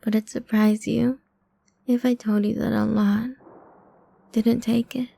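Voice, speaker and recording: A woman's voice: fairly high pitch, level tone, a little slow. A woman playing a cruel, playful female vampire in an ASMR roleplay, taunting her prey in a condescending, half-whispered way. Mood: commanding, seductive. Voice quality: dark, soft, breathy, creaky.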